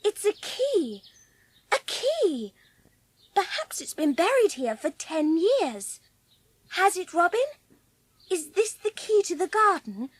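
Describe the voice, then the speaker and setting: A woman's voice: extremely high pitch, full character voice. A woman playing a lonely, curious young orphan girl in a dramatised audiobook, speaking with wonder. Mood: excited, wondering. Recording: clearly audible background noise. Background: birdsong.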